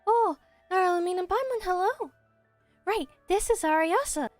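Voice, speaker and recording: Woman voicing a high, friendly little forest spirit. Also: expressive, normal speed, no echo, faint music behind. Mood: friendly, explaining. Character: small, friendly, earnest forest spirit, a helpful guide. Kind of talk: amateur video game voice acting.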